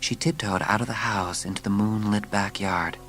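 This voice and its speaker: Woman narrating over clear background music. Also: very deep voice, fairly even intonation, moderate pace. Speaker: audiobook narrator. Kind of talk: scripted dramatic children's story narration.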